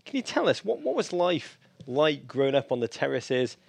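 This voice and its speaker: A man's voice: medium pitch, sing-song, normal speed, creaky, dry recording. A man co-hosting an interview, playful and admiring in tone. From England. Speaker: co-host. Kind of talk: casual interview chat.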